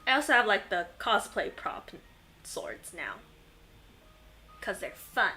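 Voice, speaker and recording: A young adult woman chatting casually as she plays a game, rambling through animated anecdotes. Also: mid-range voice, takes it slowly, soft background music. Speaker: game streamer. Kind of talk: casual chat while playing a game.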